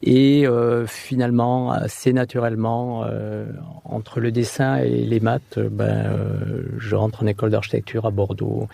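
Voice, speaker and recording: Gravelly hesitant middle-aged man. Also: on the low side, little pitch movement, a little slow, hardly any pauses, dark tone, dry recording. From France. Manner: hesitant, many fillers and restarts. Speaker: architect guest. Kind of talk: interview answers.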